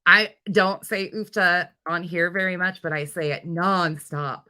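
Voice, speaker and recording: Low-pitched woman, bright voice. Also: steady tone, measured pace. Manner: chatty, informal, giggly, jumps between replies. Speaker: livestream host. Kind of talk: casual live chat, reading and answering viewer comments.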